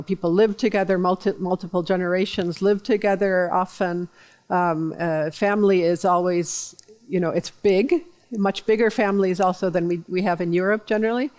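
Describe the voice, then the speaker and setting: A middle-aged woman answering interview questions in a thoughtful, measured, friendly way. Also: lowish voice, darkish tone. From United States. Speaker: guest, diplomat.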